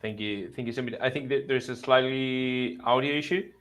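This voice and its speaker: A man's voice: mid-range voice, steady tone, normal speed, a bit bright, faint music behind. A man hosting an online panel chat, friendly and rambling with many fillers. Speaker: host.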